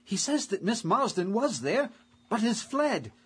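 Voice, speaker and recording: Formal Victorian manservant in radio play: husky, deferential, lively intonation. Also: male voice, high voice, measured pace, full character voice. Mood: grave. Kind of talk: period radio drama acting.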